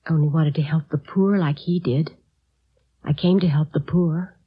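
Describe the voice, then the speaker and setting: An actress playing a fussy, genteel older housewife in a 1950s radio drama, politely defiant and easily distracted. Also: female voice, low voice, fairly even intonation, normal speed, slightly rough. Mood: wistful, earnest. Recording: dull vintage radio recording.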